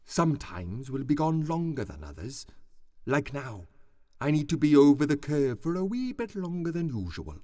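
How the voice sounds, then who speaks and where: A man playing a cheery, affectionate sun in a family radio play, excitable and chatty as he rambles along. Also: on the high side, moderate pace. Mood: chatty rambling.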